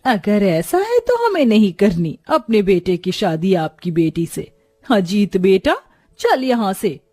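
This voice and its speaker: A woman's voice: mid-range voice, big pitch swings, takes it slowly, warm tone, no room sound, faint music behind. A woman voicing a haughty, greedy rich mother of a groom in a story, demanding and condescending. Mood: curt, offended.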